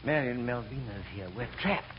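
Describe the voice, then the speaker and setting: A man's voice: medium pitch, normal speed, natural voice. A man playing a flustered, put-upon middle-aged husband posing as a mystic in an old radio comedy, hesitant, stammering and dryly sarcastic. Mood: exasperated. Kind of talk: 1940s radio comedy acting. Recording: dull, hissy old radio recording.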